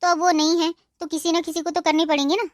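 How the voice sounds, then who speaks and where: A woman playing a sharp-tongued, bossy young sister-in-law in a cartoon moral story, with a sarcastic, scolding manner. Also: very high-pitched, little pitch movement, fast talker, dry recording, studio quality. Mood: threatening.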